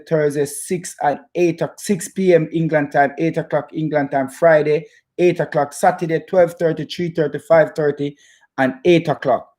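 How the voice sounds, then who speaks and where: Jamaican man, halting casual football chat. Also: fairly high pitch, dark tone. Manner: repeats phrases, halting, stop-start delivery. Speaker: football fan channel host. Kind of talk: casual chat to live viewers about football.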